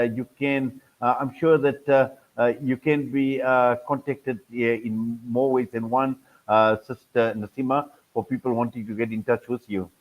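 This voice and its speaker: South african guy hosting a radio chat, halting and wordy, repeats himself, slightly dull sound. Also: mid-range voice. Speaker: radio host. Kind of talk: live radio interview.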